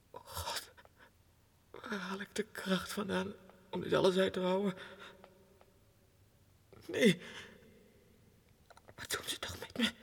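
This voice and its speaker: An actor playing a tormented, desperate, childlike madman in a theatrical 1960s radio monologue that swings between hushed whispers and anguished cries. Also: sits high, creaky, husky, exaggerated voice. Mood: confused, weary. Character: tormented madman clerk, imagines himself king, desperate and childlike. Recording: reverberant hall, 1960s radio production.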